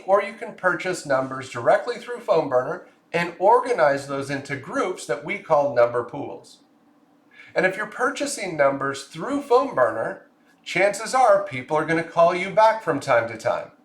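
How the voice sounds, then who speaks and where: A man presenting a software tutorial, explaining clearly step by step in a scripted narration style. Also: on the high side, echoey room.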